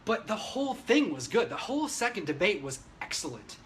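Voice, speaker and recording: A man's voice: high-pitched, big pitch swings, brisk, a bit bright. A man ranting unscripted on a livestream, brash, opinionated and emphatic, swearing freely as he talks to his viewers. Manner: emphatic, agitated delivery. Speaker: livestreamer giving political commentary. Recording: noisy livestream audio.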